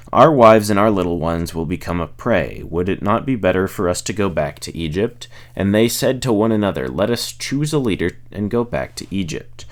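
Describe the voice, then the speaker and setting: Low-voiced man reading scripture aloud. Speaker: reader of a Bible audiobook series. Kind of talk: scripture read aloud, expressive narration.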